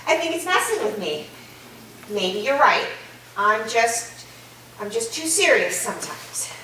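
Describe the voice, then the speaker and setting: A woman's voice: a little high, very animated, a little slow, sharp tone, full character voice. A woman playing an uneasy, serious and skeptical college student with a plain, wary delivery in a staged reading. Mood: anxious. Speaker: actor in a staged play reading. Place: live staged reading in a reverberant room. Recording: echoey room, distant mic, faint noise.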